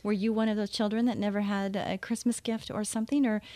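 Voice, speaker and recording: A woman's voice: medium pitch, level tone, fast talker, a little mellow, no echo. A woman hosting a call-in radio show, chatty and enthusiastic, laughing easily with her guest. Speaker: radio host.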